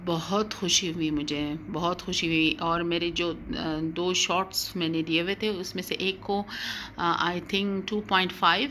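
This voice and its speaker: A woman's voice: on the low side, bright, forceful tone. A middle-aged woman narrating a casual home vlog in a hesitant way, often repeating her phrases. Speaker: vlogger. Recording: clear background noise, home ambience.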